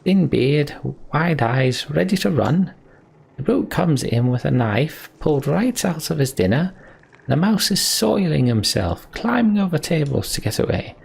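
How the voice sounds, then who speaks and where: A man's voice: a little high, expressive, normal speed, gravelly, a little mellow, breathy edge, pitched above the performer's own voice, heavily acted. A man voicing a boastful, rambling old minstrel from a video game, telling tales in colloquial speech. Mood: animated storytelling. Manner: colloquial, storytelling, swooping emphasis. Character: rambling, boastful old male minstrel, cheerfully world-weary. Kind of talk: reading video game dialogue in character.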